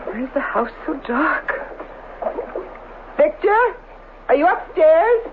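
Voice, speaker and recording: An actress playing a scientist's devoted, anxious wife in a radio drama, with a tender, theatrical delivery. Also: female voice, high voice, very animated, slow pace, heavily acted. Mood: alarmed, calling. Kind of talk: 1970s radio drama acting. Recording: muffled, hissy old radio broadcast.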